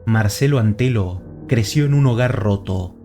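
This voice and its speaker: A man giving scripted documentary narration in a measured, serious tone, played back with music underneath. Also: lowish voice, creaky, warm tone. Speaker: narrator of the reacted video.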